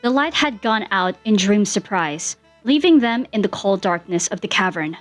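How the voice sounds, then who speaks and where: A woman's voice: medium pitch, expressive, moderate pace, faint music behind. A woman reading fan fiction aloud as the narrator, in a scripted storytelling style.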